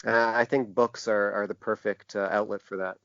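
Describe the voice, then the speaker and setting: A man answering interview questions as a designer, in a conversational but halting way, often restarting his phrases. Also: on the low side, level tone, fairly bright tone, clear, resonant tone, no room sound. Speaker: podcast guest, book designer. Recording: dull, band-limited lo-fi sound.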